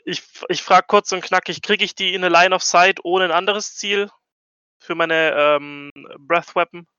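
A man's voice: high voice, fairly even intonation, unhurried, sharp tone, clear, resonant tone, no echo, muffled recording. A man playing a tabletop role-playing game, chatting casually and playfully and dropping in English phrases.

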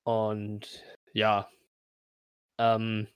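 A man, improvising colloquial game master narration in halting sentences. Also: fairly low pitch, monotone, clear, resonant tone, no room sound.

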